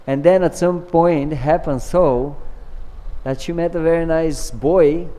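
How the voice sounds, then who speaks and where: A man from Bulgaria, giving an earnest devotional scripture class and telling stories with pauses and restarts. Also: fairly high pitch, dark tone. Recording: long reverb of a large hall.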